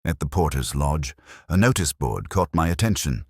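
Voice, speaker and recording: A very low-voiced, melancholy older retired inspector acted by a man narrates a literary detective story. His delivery is measured and erudite, with lively rises and falls and a wry, wistful turn. The sound is close and dry. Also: slightly rough, no echo, exaggerated voice. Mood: wistful, brooding. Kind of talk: literary first-person detective drama monologue.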